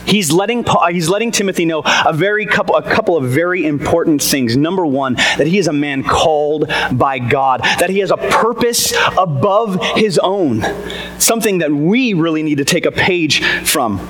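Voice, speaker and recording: Pastor guy with a boomy voice, pitched a bit high, preaching all chatty and excited into a mic, faint hiss behind. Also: normal speed. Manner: energetic, chatty, asks the congregation questions. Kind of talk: casual conversational sermon. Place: church service before a congregation. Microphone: amplified mic on a sound system.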